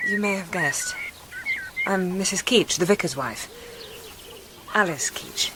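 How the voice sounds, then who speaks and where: An actress playing a polite, earnest, gently curious vicar's wife in a radio drama, courteous and solicitous in manner. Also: female voice, fairly low pitch, lively intonation, takes it slowly, long pauses. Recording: birdsong and outdoor noise behind.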